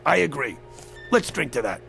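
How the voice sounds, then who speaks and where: A man's voice: on the high side, very animated, moderate pace, vocal fry, exaggerated voice. An older man acting in a radio drama as a sly, gleeful devil posing as a crazy old man, with a wheedling, mock-polite manner. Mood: teasing, goading. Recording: music under the voice.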